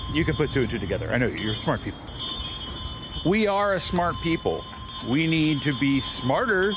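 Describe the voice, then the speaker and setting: Higher-voiced American man on noisy outdoor livestream. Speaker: livestream host and organizer of a public reading. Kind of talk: informal livestream address to viewers and a small crowd. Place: outdoors on a town street. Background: loud ambient noise and a ringing bell.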